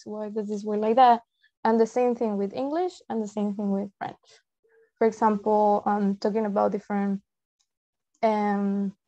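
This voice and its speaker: A young woman with a Peruvian Spanish accent presents in an informal, explanatory way, often rephrasing her points. Her voice is dark and relaxed. The sound is dull and lo-fi, as on a video call. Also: mid-range voice. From Peru. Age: young adult. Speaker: translator giving a presentation. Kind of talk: informal online lecture. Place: indoor room, on a video call.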